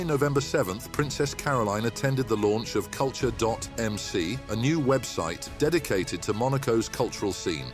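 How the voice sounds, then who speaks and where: A male news presenter reading a weekly summary in a measured, even delivery. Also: mid-range voice. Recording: news read over clear music bed.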